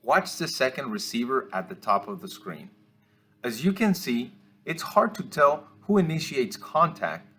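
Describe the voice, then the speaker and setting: A man delivers instructional commentary over game footage. His mid-pitched voice is measured and explanatory, with small hesitations as he works through each point like a coach teaching officials. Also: big pitch swings, a bit bright. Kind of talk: instructional film-review commentary.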